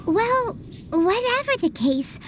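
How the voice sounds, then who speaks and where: A woman voicing a tiny, excitable female fairy companion in a video game, chatty and eager, referring to herself in the third person. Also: very high-pitched, slow, slight background noise, full character voice. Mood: chirpy, eager. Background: soft game music.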